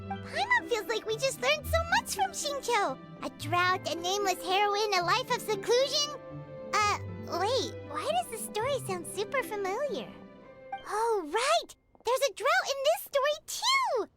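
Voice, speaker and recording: An extremely high, squeaky childlike fairy, eager and excitable, with pitch that bounces around a lot. She is a chirpy video game sidekick, with loud music playing behind her. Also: measured pace, heavily acted. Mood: curious, excited. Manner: refers to self in third person, eager, exclamatory. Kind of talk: video game character acting. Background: playful game music.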